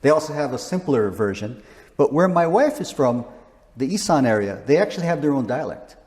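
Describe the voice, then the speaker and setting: A man's voice: medium pitch, big pitch swings, a little fast, a little mellow, big-room sound. A man in his sixties, a missionary, talking casually in an interview with frequent restarts. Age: 60s. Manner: rambling, frequent restarts and chuckles.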